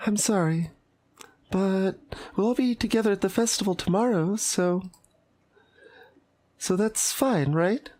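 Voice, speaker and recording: A woman voicing a sweet, fragile teenage anime girl in a visual novel, speaking in a halting, earnest way. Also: medium pitch, dark tone, lightly acted. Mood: polite, putting on cheer. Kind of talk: visual novel character voice-over.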